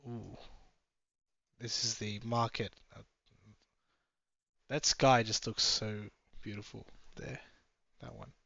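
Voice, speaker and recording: A man's voice: on the low side, level tone, takes it slowly, creaky, pauses often, sharp tone, breathy edge, dry recording. A man giving a casual, chatty running commentary from inside a moving car, musing aloud and drifting off topic. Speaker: travel vlogger. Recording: slightly dull, dry sound.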